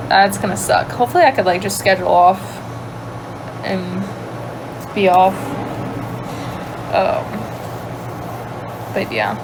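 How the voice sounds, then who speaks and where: A young woman from Louisiana with a light Cajun accent, chatting casually on a livestream and answering viewer questions, thinking aloud and trailing off into quiet asides. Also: on the low side, vocal fry, background noise. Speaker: livestream host. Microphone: phone.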